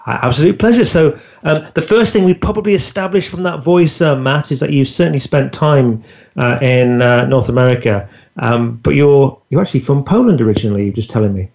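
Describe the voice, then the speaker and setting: A man's voice: medium pitch, brisk, vocal fry, darkish tone. A man hosting an interview podcast, chatting casually with plenty of fillers and restarts. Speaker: podcast host. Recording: dull lo-fi audio.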